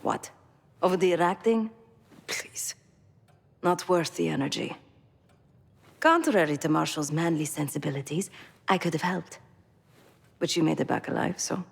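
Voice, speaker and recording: An actress playing a cool, self-possessed mercenary operative in a video game, with a measured, dry, wry way of talking. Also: female voice, low-pitched, lively intonation, creaky, husky, in a large hall, exaggerated voice. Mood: dismissive, guarded. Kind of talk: video game cutscene acting.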